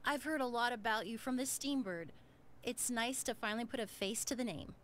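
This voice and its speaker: A woman's voice: fairly high pitch, brisk, fairly bright tone, slight background noise, natural voice. A woman voicing a poised perfumer. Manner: formal, gracious speech. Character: poised adult woman perfumer, courteous and composed. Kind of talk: video game voice acting.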